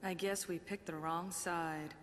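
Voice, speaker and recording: A woman's voice: fairly low pitch, fairly even intonation, unhurried, fairly bright tone, slight background noise. A woman voicing a cool, matter-of-fact treasure hunter in a video game, dry and understated. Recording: reverberant game audio with faint music.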